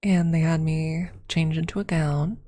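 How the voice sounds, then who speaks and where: Low, husky, hushed ASMR woman. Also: fairly even intonation, a little slow. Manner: hushed, often slipping into whisper. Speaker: ASMR streamer. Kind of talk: soft-spoken ASMR storytelling. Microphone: close ASMR microphone.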